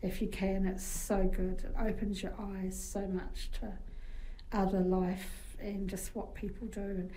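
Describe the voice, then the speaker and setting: A woman with a New Zealand accent talks casually as an interview guest, spinning anecdotes with plenty of fillers. Her voice is soft and mellow, and her pitch stays fairly level throughout. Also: level tone, a little slow, slight background noise, low-quality recording. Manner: chatty, anecdotal, frequent fillers.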